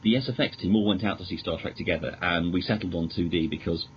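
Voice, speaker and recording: A British man chatting as a podcast guest, measured and polite, often stammering and restarting his sentences. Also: sits low. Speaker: podcast guest, magazine editor. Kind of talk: casual interview chat about films. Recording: dull lo-fi audio with clear noise.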